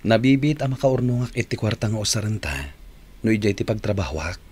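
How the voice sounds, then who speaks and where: A man's voice: fairly low pitch, a little fast, darkish tone, slightly rough. A man with a Filipino accent playing an earnest young long-distance boyfriend in a radio drama. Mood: pleading.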